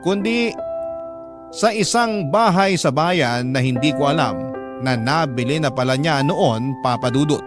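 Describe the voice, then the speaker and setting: An earnest, hardworking older brother tells his story in the first person. His Filipino-accented voice sits a little high, with sentimental radio drama delivery over a clear music bed. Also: expressive, a little slow. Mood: reflective, heartfelt. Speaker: narrator of a radio love story.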